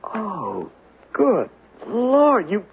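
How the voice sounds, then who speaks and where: An actor playing a young American traveler who has been robbed, indignant and stammering, in old radio drama style. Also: male voice, high voice, huge pitch swings, extremely slow, creaky, a little hiss or hum, full character voice. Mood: frantic, outraged. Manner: exasperated, stammering protest. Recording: tinny band-limited old radio recording.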